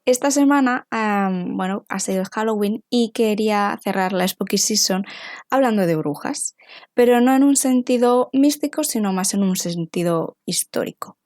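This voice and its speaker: Spanish woman from spain hosting a podcast, bit raspy n stuffed up with a cold, very dry close sound. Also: mid-range voice, normal speed, darkish tone, no room sound. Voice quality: slightly raspy, congested. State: mild head cold. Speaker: podcast host. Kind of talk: scripted narration with a casual introduction.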